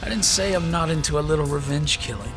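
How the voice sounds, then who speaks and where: A man's voice: fairly high pitch, expressive, fast talker, music in the background. A man playing a sardonic, grumbling mercenary dragoon in a fantasy audio drama. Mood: smirking, taunting.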